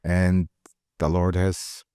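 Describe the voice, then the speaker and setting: Low-voiced guy, earnest and emphatic, dry close mic. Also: monotone, takes it slowly, dark tone, clear, resonant tone, no room sound. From Iraq. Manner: earnest, emphatic, with restarts and repeated words. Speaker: mechanic and shop owner. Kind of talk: casual heartfelt conversation.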